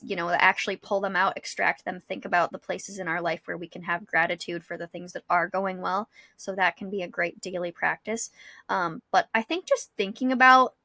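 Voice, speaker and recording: A woman's voice: medium pitch, pushed and bright, no echo. A woman in her thirties co-hosting a podcast in casual, reflective chat, explaining ideas and rephrasing them as she thinks aloud. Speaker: podcast co-host; school psychologist.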